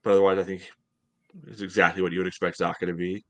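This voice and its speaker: Low-voiced man talking sports. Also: level tone, fast talker, fairly bright tone, no echo. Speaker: podcast co-host. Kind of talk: casual sports analysis. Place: home room, on a video call.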